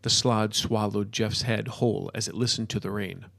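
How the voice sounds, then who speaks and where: A man's voice: fairly low pitch, steady tone, normal speed, creaky, fairly bright tone. A man reading scripted narration as the narrator of an audio story.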